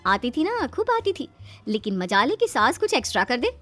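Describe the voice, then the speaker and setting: Woman acting young daughter-in-law, high pitch, exasperated, pleading, comic cartoon delivery. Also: very animated, normal speed, a bit bright, soft background music. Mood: protesting. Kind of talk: Hindi comedy cartoon story acting.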